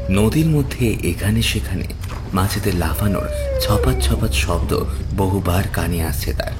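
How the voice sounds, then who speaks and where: Really deep guy telling a scary story, dramatic and suspenseful, clear ambient noise behind him. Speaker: narrator. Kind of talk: scripted horror story narration.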